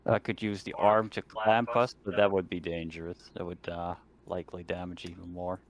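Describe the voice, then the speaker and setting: A man's voice: low-pitched, little pitch movement, normal speed, crowd noise. A man playing a tabletop roleplaying game, chatting casually and speaking in character in a laid-back, wry way.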